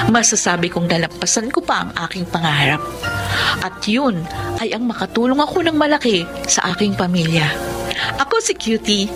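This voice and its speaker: A woman's voice: medium pitch, close to an everyday voice. A young woman playing a devoted, grateful daughter in a Filipino radio drama, speaking earnestly and sentimentally over music. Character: devoted, grateful young adult daughter narrating her life. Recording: music bed behind voice.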